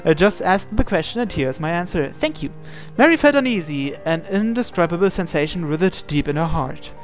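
A man's voice: sits high, lively intonation, moderate pace. A man reading a visual novel aloud as narration, sometimes stumbling and repeating phrases. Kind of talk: dramatic read-aloud narration with asides. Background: game music.

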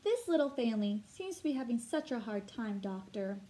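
A kindly old country shopkeeper from a children's storybook, voiced by a woman, talking in a folksy, coaxing way. The voice sits fairly high. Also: sing-song, normal speed, mellow.

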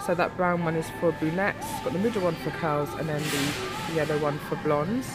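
A woman's voice: low-pitched, steady tone, moderate pace. Woman, stuffy nasal voice, chatty shop vlog over music. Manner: chatty, filler-heavy. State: nasal from a cold. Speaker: beauty vlogger. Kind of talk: casual shop walkthrough. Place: shop aisle, indoors. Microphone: handheld phone camera.

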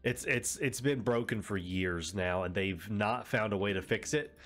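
A man's voice: on the low side, moderate pace, a bit bright. A man with a head cold and a nasal voice, giving casual commentary as he plays a city-building game, rambling and correcting himself as he explains. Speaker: let's play host.

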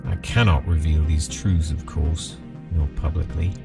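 Man acting a very deep, gravelly, brooding executive. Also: steady tone, recorded from a distance, over music, heavily acted. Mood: grave disapproval. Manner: formal, measured, brooding. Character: grave, indignant male company executive. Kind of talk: scripted audio drama personal log.

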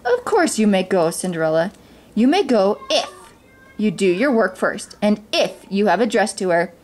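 Woman playing a bossy storybook stepmother, swooping pitch. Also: sing-song, normal speed, a little mellow, close to an everyday voice. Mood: slyly smooth. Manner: commanding, calling out sharply. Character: cold, bossy, mocking stepmother of a fairy tale.

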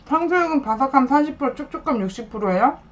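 A young woman with a slightly high voice chats casually during a live eating stream, thanking viewers and murmuring between bites. Her audio is dull and lo-fi, with a faint hiss under it, picked up by a webcam mic in a small room. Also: animated, fast. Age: young adult. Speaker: mukbang streamer. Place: small room indoors at a table.

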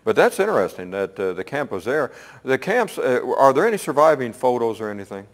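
An older man hosting a local TV interview, talking in a folksy, rambling and halting way. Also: mid-range voice, sing-song. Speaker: host. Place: small TV studio.

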